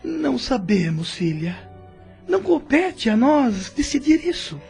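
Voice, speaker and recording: A Brazilian man playing a gentle, forgiving and pious father spirit in an audio drama. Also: very high voice, sing-song, unhurried, gravelly, soft background music, exaggerated voice. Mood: gentle resignation.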